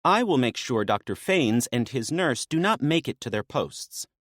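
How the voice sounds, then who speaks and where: A man voicing a sharp-tongued, bossy female maenad with a dry, mocking manner. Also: mid-range voice, very animated, normal speed, no echo, voice pushed up. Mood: sarcastic.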